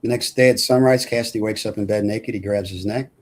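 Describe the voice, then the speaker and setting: A man's voice: fairly low pitch, fairly even intonation, fast. A man narrating stage directions at a film script table read in a plain, matter-of-fact way.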